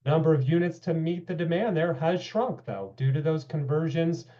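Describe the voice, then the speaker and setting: A man with a somewhat high, mellow voice, slightly hoarse from a long day, briefing a public meeting on housing figures. He talks in a steady presenter manner with casual asides. The sound is dull and lo-fi. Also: moderate pace. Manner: briefing with data, asides of okay and you know.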